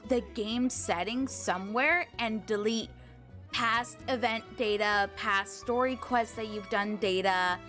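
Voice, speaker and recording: A woman's voice: a little high, slow, a bit bright. A woman livestreamer chatting casually with her viewers, thankful and gushing, using girlish slang. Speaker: video game livestreamer. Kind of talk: casual chat with stream viewers. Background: game music.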